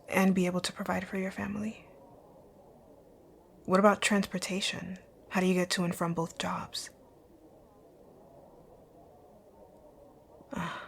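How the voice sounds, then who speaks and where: A woman playing a rich, dominant boss in an ASMR roleplay, speaking in a curt, commanding way with a soft, breathy, husky voice. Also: on the low side, heavily acted. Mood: softening, concerned. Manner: curt, commanding, breathy, often near a whisper. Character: rich, rude, dominant female boss, stern but secretly caring. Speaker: audio roleplay voice actor.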